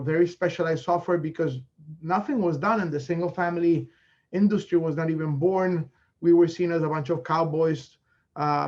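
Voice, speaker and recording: A man's voice: on the high side, lo-fi sound. A man from Colombia with a Colombian Spanish accent, a native Spanish speaker giving a conference presentation on real estate investing, full of fillers and self-corrections.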